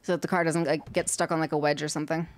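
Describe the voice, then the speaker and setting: A woman's voice: low-pitched, level tone, racing, slight background noise. A young woman streaming a game from home, chatting to herself as she builds, her voice a little worn. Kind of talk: casual gameplay commentary.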